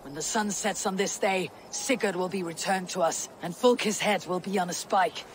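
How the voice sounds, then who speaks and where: A woman voicing a fierce, vengeful Viking warrior leader in a video game, with a gravelly voice and solemn, declamatory delivery. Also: normal speed, slight background noise, close to an everyday voice. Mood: grim resolve. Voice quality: gravelly, low-toned delivery. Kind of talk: dramatic video game acting.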